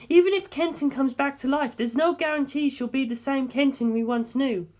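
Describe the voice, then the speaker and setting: A woman acts out a young woman from an audiobook story, high in pitch and sure of herself. She talks at length, assertive and knowing, with a teasing edge. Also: natural voice. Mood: grave, firm. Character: Darlington, sharp teasing young English woman. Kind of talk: dramatic audiobook character dialogue.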